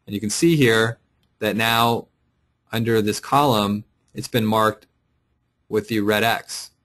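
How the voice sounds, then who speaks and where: Tutorial presenter: male with a fairly low voice, informal explanatory delivery, talking through a screen share step by step. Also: fairly even intonation, slow pace, a bit bright. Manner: explanatory, thinking aloud while clicking through. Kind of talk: casual screen-share walkthrough, unscripted. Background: voice-over for a screen recording.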